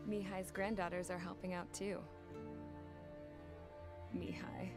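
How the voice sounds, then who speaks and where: Blunt, lower-voiced woman mechanic. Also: flat delivery, slow, music in the background. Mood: dry, reflective. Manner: blunt, colloquial. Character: gritty, wry female mechanic, plain-spoken and resourceful. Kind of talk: dramatic game cutscene narration. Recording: loud musical score behind.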